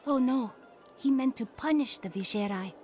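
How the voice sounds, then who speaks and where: A woman voices a composed soul from a long-gone age in a fantasy game. She recounts things formally in a fairly high voice, with light music behind. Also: normal speed, darkish tone, natural voice. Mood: reminiscing. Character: ancient follower of a prophet, composed, storytelling woman. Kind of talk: video game voice acting.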